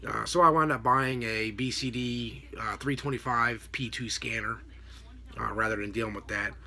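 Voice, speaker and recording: A middle-aged American man giving a casual, unscripted explanation to camera in a halting, rambling way. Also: mid-range voice, fairly even intonation, gravelly, pushed and bright. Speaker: vlogger, radio scanner hobbyist. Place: small home room with a desk, indoors. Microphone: computer webcam at desk distance.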